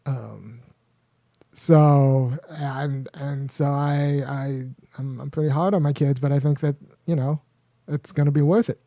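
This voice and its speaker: A man's voice: mid-range voice, steady tone, measured pace, gravelly, mellow, dry recording, clean studio sound. A man with young children hosting a casual, rambling commentary monologue, often restarting his sentences. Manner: rambling, frequent restarts and fillers. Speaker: host.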